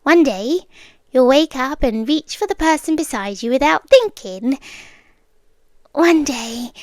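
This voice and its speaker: A British woman playing an obsessive, possessive young girlfriend in an intimate ASMR roleplay, soft and deliberate, sweetly menacing, slipping into whispers. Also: high-pitched, lively intonation, dry recording. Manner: slow, deliberate, coaxing, with pauses and whispered words. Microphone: close, dry microphone.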